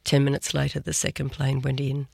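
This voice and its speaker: An Australian woman, a veteran TV news presenter, recounting events in a vivid, measured way, slowing down for emphasis. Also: extremely low pitch, completely flat delivery, gravelly, darkish tone, no room sound, clean studio sound. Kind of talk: reflective interview answers.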